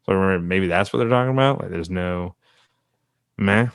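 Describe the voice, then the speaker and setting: A man's voice: deep voice, brisk, clean tone, no room sound, clean studio sound. A man co-hosting a comedy podcast, easygoing and rambling, trailing off into fillers. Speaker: podcast co-host. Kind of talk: casual podcast banter.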